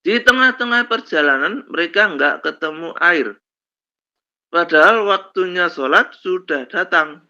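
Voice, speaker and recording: A male lecturer with a slight cold, telling stories slowly with many fillers in a lesson on Islamic law. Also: high-pitched, animated, bright, forceful tone. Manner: slow storytelling with many fillers and "kan begitu ya". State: has a cold, coughs. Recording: dull lo-fi recording.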